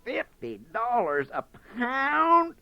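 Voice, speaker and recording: A man's voice: high-pitched, very animated, unhurried, dry recording, pitched above the performer's own voice, exaggerated voice. A man voicing a greedy, gullible male fox who stammers eagerly in storybook dialect. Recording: dull dry lo-fi recording.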